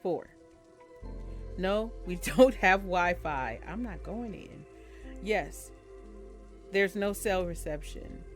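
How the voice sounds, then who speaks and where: Slow, low-pitched woman reading game text. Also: lively intonation. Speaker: video game streamer. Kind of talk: casual let's-play, reading on-screen game text aloud. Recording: music playing behind the voice. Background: game music.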